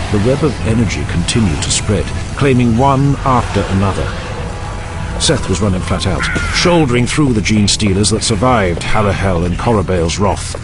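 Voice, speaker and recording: A man delivers intense dramatic narration in a fairly low voice. His intonation is lively and emphatic, built for a battle-heavy audio drama. Loud music runs underneath him.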